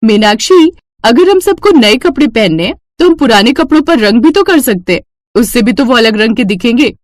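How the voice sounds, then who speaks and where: A woman's voice: fairly high pitch, expressive, moderate pace, dry recording, studio quality, close to an everyday voice. A woman voicing a resourceful young daughter-in-law in a cartoon story, eager, respectful and reassuring. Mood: eager planning.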